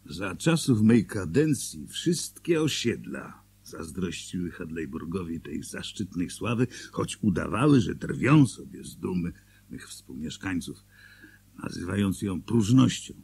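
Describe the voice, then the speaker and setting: A man's voice: deep voice, sing-song, a little slow, gravelly, a little mellow, a little hiss or hum, heavily acted. An actor playing a proud, self-important former mayor telling his town's story in a radio drama, with pompous delivery. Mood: proud, emphatic.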